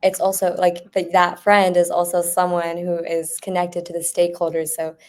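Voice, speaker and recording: A woman's voice: lowish voice, monotone, normal speed, a little mellow. A young woman speaking as a podcast guest in a conversational panel discussion. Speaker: student board representative, podcast guest.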